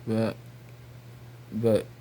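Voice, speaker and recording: A man rambling casually in a halting, repetitive, incredulous way. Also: dark tone, slight background noise. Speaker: video creator.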